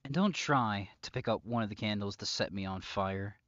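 A man playing a possessive, psychopathic captor lover in an intimate audio roleplay, mockingly sweet and taunting, moving between softness and sharpness. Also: on the low side, no room sound, dull audio, lightly acted. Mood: playfully menacing. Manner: drawn-out, taunting, swinging between soft whispers and sharp outbursts.

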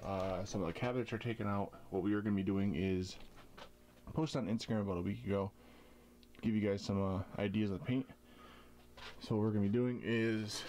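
A man's voice: lowish voice, darkish tone. A man in his thirties casually showing viewers around an RV, talking loosely with lots of fillers, into a handheld camera mic. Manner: loose, rambling with many fillers. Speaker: vlogger. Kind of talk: casual walkthrough vlog. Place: inside an RV, then outdoors on its roof.

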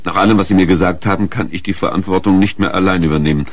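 An actor playing an earnest, conscientious doctor in a radio crime drama, formal and pleading, with a husky voice. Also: male voice, deep voice, fairly even intonation, rapid-fire. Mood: grave, apologetic. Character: earnest, conscientious male doctor shocked by a confession.

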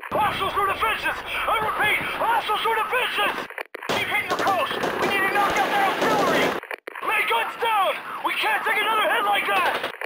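A man playing a desperate soldier in a video game, making loud, urgent calls for backup over combat radio. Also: very high voice, normal speed. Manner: urgent, loud repeated reports. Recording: loud gunfire and radio noise.

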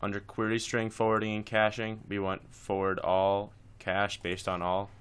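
A man's voice: lowish voice, completely flat delivery, moderate pace, fairly bright tone, smooth. A man presenting a casual screencast tutorial, talking informally through each step as he instructs.

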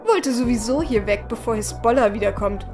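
An actress as a prickly, short-tempered woman hacker in a radio play. Her voice is high and snappy, with music behind her. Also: fairly quick, lightly acted. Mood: brisk. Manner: snappy, exasperated. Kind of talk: German audio drama acting.

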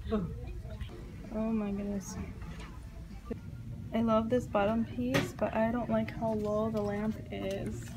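A woman's voice: fairly high pitch, little pitch movement, takes it slowly, long pauses, heavy background noise. A young woman vlogging casually while shopping, gushing over items and reading prices aloud into her handheld phone. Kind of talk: casual shop-with-me commentary. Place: home decor stores, indoors. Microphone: handheld phone camera.

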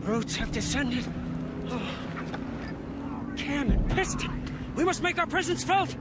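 A man's voice: very high-pitched, animated, slow, heavy background noise, full character voice. An actor playing a zealous male wasteland mystic in a game, speaking in archaic, fervent pronouncements.

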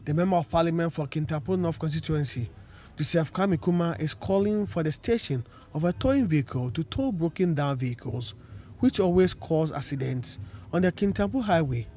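A man reading a news report in a steady, formal voice-over. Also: a little high, fairly quick.

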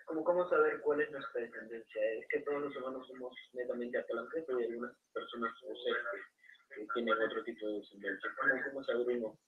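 Fast-talking man on a distant, echoey line. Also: mid-range voice, fairly even intonation, phone-quality sound. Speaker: caller asking questions. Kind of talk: questions on a video call. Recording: echoey distant call audio with faint noise.

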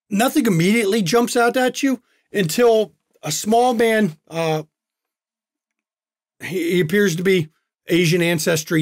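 A man running a tabletop game as game master, narrating with many pauses and restarts. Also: sits high, no echo, studio quality. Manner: halting, many uhs and restarts.

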